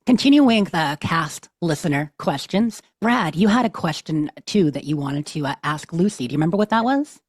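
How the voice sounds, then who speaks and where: A woman's voice: low-pitched, animated, normal speed, hardly any pauses, dry recording, studio quality. A woman hosting a casual group discussion and moderating questions. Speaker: panel host.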